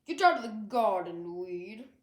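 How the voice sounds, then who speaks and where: A woman voicing a giant, boastful, bullying sunflower in a storybook, with a pompous, booming delivery. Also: expressive, unhurried, roomy sound, natural voice. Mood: indignant, pompous.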